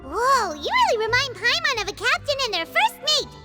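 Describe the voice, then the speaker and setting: A woman's voice: very high-pitched, sing-song, normal speed, heavily acted. A woman voicing a tiny, chirpy female companion creature who talks about herself in the third person, in a game cutscene. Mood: playful. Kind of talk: video game cutscene dub. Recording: game cutscene with loud music.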